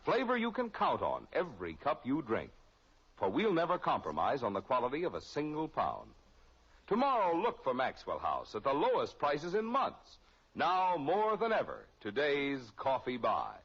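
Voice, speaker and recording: Male American commercial announcer, mid-pitched, husky, persuasive vintage radio sell, muffled sound. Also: wildly swooping pitch, normal speed, a bit bright. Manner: persuasive, polished salesmanship. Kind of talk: 1950s radio advertising read. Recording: muffled old radio recording.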